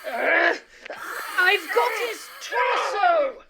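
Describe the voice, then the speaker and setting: A brash, reckless woman zookeeper, acted by a woman in a radio play. Her voice is high and swings widely, and she takes her time over her emphatic lines. She sounds determined and theatrical. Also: lively intonation, drawn-out words, creaky, raised voice, very noisy, full character voice. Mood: frantic, straining.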